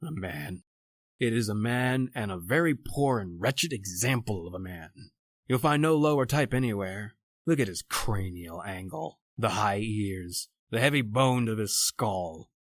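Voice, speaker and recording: An actor playing a proud, educated doctor adrift at sea, in a formal, theatrical old-time radio horror style. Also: male voice, fairly low pitch, animated, a little slow, no echo, studio quality. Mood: grave, brooding.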